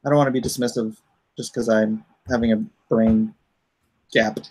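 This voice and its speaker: A man's voice: lowish voice, steady tone, moderate pace, a little mellow, husky. A man hosting a casual livestream chat, rambling and thinking aloud. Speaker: comic artist hosting a livestream.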